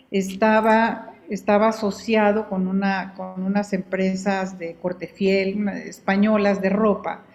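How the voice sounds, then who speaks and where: Unhurried older woman from Guatemala. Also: mid-range voice, darkish tone, a little hiss or hum. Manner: unhurried, often repeats and restarts words. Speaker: guest. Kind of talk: relaxed conversational storytelling.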